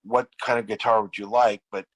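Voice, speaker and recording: A man with a low voice that is wearing thin as he loses it. He rambles through his stories, restarting sentences and repeating words. The sound is dry and close. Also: level tone, fairly quick, dry recording, poor audio. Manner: rambling storyteller with many restarts. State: losing his voice. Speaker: podcast guest, band frontman. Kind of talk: casual interview chat.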